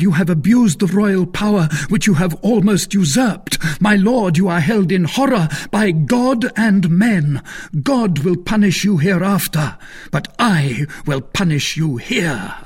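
A man's voice: high-pitched, lively intonation, unhurried, darkish tone, slightly rough, voice pushed up, full character voice. A man voicing a righteous male zealot, vehement and declamatory, in a dramatic audiobook. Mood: righteous fury. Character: fervent, righteous young male zealot and avenger. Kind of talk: dramatic audiobook character acting.

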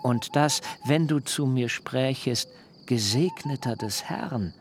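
An actor playing a proud, eloquent fugitive nephew in a literary radio drama, measured and ornate in speech, with some creak. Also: male voice, mid-range voice, lively intonation, slight background noise. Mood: assured, persuasive. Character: destitute but proud, eloquent fugitive nephew, smooth-tongued.

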